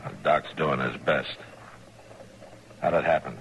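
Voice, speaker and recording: An actor playing a weary, steady American marshal, laconic and calm, in an old-time radio western. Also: male voice, a bass voice, a little slow, gravelly, heavily acted. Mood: brisk, concerned. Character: weary, steady male Old West marshal keeping order. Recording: noisy old radio recording.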